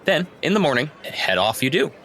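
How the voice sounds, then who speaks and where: A man's voice: fairly high pitch, very animated, fairly quick, fairly bright tone, slight background noise. A man acting as game master, narrating a tabletop roleplaying game in a conversational way.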